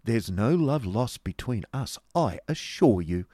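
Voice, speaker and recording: A man's voice: mid-range voice, lively intonation, measured pace, warm tone, slightly rough, dry recording, clean studio sound, pitched above the performer's own voice. A man voicing a pert, vain young woman with an affected, mockingly polite manner in a novel reading. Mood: taunting. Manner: affected, taunting, prim.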